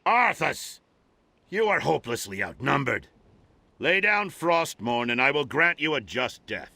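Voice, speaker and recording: An actor playing a noble, resolute older paladin commander in a video game, speaking like an orator. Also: male voice, medium pitch, very animated, a little slow, creaky, pushed and bright, no room sound, exaggerated voice. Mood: defiant.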